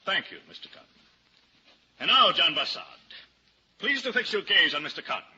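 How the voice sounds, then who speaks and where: A cunning, pompous male barrister in an old radio drama. His voice is high and cuttingly bright, with grand courtroom declamation. The sound is band-limited, with faint noise. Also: big pitch swings, brisk, heavily acted. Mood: probing, formal. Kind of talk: vintage radio drama acting. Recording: dull, noisy old-time radio recording.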